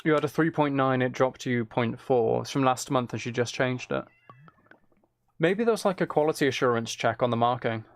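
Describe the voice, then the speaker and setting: A man's voice: medium pitch, moderate pace. A man live streaming, giving casual gameplay commentary and musing aloud as he chats to viewers.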